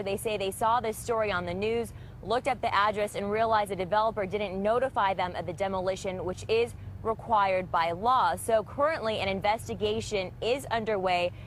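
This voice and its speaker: Female American TV field reporter, average pitch, live news delivery, light outdoor background noise. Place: outdoors on a city street by a demolition site.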